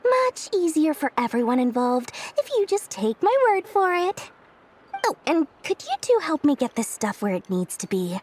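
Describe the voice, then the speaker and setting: A woman playing a brisk, proud businesswoman in a game dub, speaking in a breezy, assertive, matter-of-fact way. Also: high voice, sing-song, a little mellow. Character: brisk, proud businesswoman and investor-minded merchant lady. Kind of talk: English video game dub acting.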